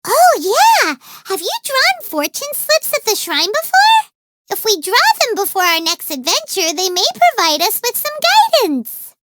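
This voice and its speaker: Super high squeaky lil fairy game sidekick, bubbly n boastful, voice bouncing all over. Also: female voice, moderate pace, heavy background noise. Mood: excited, eager. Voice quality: squeaky, childlike. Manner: refers to self in third person, exclamatory, excitable. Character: tiny childlike floating fairy companion, bubbly, boastful, naive. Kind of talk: video game character voice lines.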